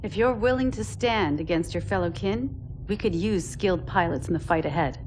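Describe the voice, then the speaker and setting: Lowish-voiced, tough mercenary woman played by an actress. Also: normal speed, darkish tone, a little hiss or hum, lightly acted. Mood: matter-of-fact. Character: pragmatic, tough female mercenary mech pilot. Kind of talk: dramatic video game cutscene acting.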